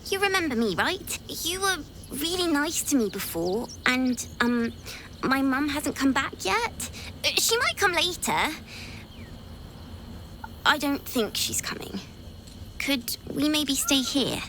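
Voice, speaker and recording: A woman's voice: sits high, very animated, takes it slowly, pushed and bright, slight background noise, exaggerated voice. A woman voicing a timid, eager young orphan girl in a video game, speaking hesitantly and earnestly. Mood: nervous, hopeful. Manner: hesitant, earnest, childlike speech. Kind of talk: video game character acting.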